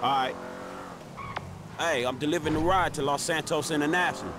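Streetwise young man, slightly high voice acted. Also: brisk, heavy background noise, close to an everyday voice. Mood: businesslike. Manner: slangy, casual, protesting. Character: streetwise young American hustler, wry and exasperated. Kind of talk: naturalistic video game acting.

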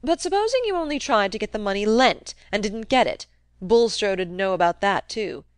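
Woman doing a gruff, cantankerous old squire. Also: medium pitch, animated, normal speed, no echo, clean studio sound, close to an everyday voice. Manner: gruff, wheedling, loud and emphatic. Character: cantankerous domineering old country man.

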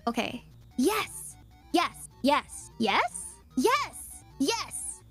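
A woman with a high voice that moves around a lot in pitch speaks at a slow, easy pace. She is casual, dropping in short performed lines like a voice actor, with faint music in the background. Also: sing-song, fairly bright tone.

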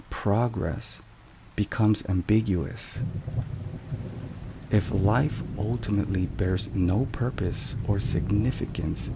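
A man narrating scripted religious ASMR in a deliberate, measured way. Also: fairly low pitch, gravelly, background noise. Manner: slow, deliberate, measured phrasing. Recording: steady rain and thunderstorm sounds behind. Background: rain and thunder.

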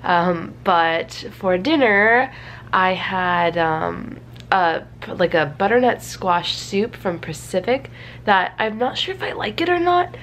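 A woman's voice: lowish voice, animated, takes it slowly, fairly bright tone. A woman in her twenties with a stuffy, congested nose, chatting casually and in a rambling, self-deprecating way to camera. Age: 20s. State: congested from a cold. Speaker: vlogger. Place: small bedroom indoors. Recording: faint background room noise.

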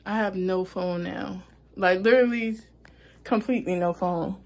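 A woman's voice: fairly low pitch, a little hiss or hum. A young woman in her twenties chatting casually to her phone camera, rambling with fillers. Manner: slow, relaxed, rambling with fillers. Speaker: vlogger. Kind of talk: casual vlog chat. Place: small cabin rental indoors. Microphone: phone held at arm's length.

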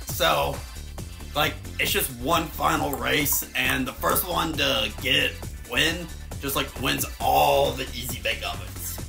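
A man's voice: medium pitch, slow, creaky, bright, forceful tone, faint music behind, exaggerated voice. A man voicing a rambling male race host in a comedic fan dub, trailing off with lots of likes.

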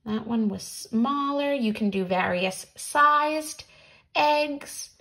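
A woman in her thirties with a fairly high voice. She talks slowly and deliberately, as a children's teacher guiding young viewers. She is recorded indoors at home, a short way from the camera. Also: fairly bright tone. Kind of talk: instructional craft video and read-aloud for children. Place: small home room, indoors.

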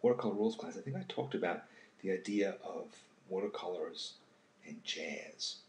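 A man in his sixties hosting a casual live drawing session, speaking haltingly and thoughtfully and often trailing off into a murmur. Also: sits low. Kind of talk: unscripted casual talk while drawing. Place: home kitchen, indoors. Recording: roomy echo, faint background noise.

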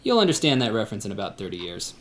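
A man acting in a church radio play, calm and gently teasing. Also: lowish voice, rapid-fire.

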